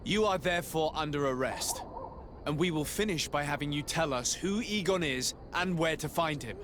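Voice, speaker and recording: A man as a stern, higher-voiced diplomatic emissary. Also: background noise, natural voice. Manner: formal, measured. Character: stern, formal male diplomatic emissary of a merchant state.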